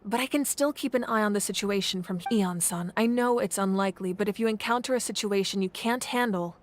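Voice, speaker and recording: A woman playing a composed, caring female leader in a fantasy video game, speaking in a measured, earnest way. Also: mid-range voice, slight background noise, natural voice. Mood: concerned. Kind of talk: English video game voice acting.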